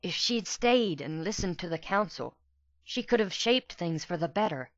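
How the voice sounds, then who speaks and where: A man voicing an earnest, soft-spoken young female pegasus pony with a hesitant manner for a storybook. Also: high voice, lively intonation, moderate pace, dry recording, lo-fi sound, voice pushed up. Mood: regretful.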